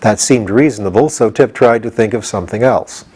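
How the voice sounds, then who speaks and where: A man's voice: lowish voice, a little fast, a little mellow, slightly rough. A man reading a children's story as scripted audiobook narration. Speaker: audiobook reader.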